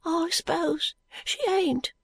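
Woman voicing a high-pitched, hoarse sick boy. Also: a bit bright, no room sound, exaggerated voice. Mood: wary, doubtful. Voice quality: hoarse, muttering. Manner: slow, drawling uneducated dialect. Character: sick, frightened, stubborn poor London boy, feverish. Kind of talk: audiobook character voice.

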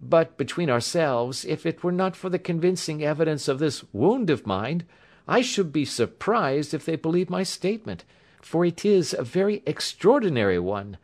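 Man voicing a high-pitched, shaken young gentleman. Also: moderate pace. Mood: relieved, earnest. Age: mid-twenties. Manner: courteous, excitable, shaky, breathless. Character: pale, agitated young male engineer, wounded, hysterical, polite.

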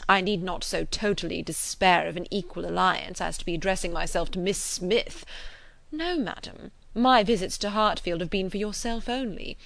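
A woman voicing an ardent, self-important gentleman suitor in a classic novel, effusive, insinuating and pompous. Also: lowish voice, normal speed, creaky, no echo. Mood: affronted, haughty. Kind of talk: dramatised classic novel reading.

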